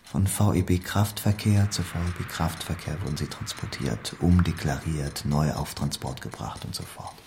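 An actor playing a loyal, guarded East German communist cadre in an audio drama monologue, deliberate and confiding, often sinking toward a whisper. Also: male voice, extremely low pitch, noisy background. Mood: sober, reporting. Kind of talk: literary monologue in an audio play.

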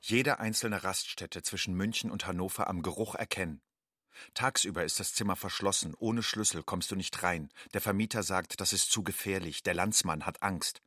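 A man's voice: sits low, normal speed, pushed and bright, no room sound, studio quality. An actor playing a wary, rootless migrant man, delivering a matter-of-fact audio drama monologue in clipped sentences. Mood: wary, stark.

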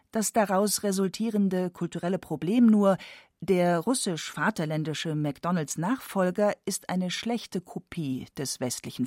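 A woman's voice: on the low side, darkish tone, dry recording, clean studio sound. A woman reading scripted narration as a documentary narrator.

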